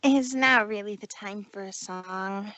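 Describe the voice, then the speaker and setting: A woman's voice: medium pitch, unhurried, fairly bright tone, no echo, natural voice. A woman voicing a proud but fragile female show pony in a theatrical, self-pitying cartoon style. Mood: dejected. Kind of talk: cartoon-style character acting for a story reading.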